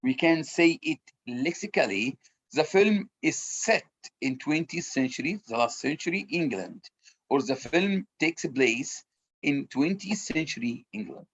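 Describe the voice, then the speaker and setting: Egyptian-accented man, English teacher, fairly high voice, repeats words, halting delivery. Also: lively intonation, husky, dull audio. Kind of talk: online class teaching.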